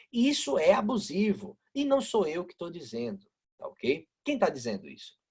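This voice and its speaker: A man's voice: on the high side, slightly rough. A man with a northeastern Brazilian accent from Aracaju, lecturing online as a law professor in a didactic way, asking rhetorical questions and checking in with listeners. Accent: northeastern Brazilian Portuguese, Aracaju. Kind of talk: live online lecture explaining law with example cases. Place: online video-call lecture.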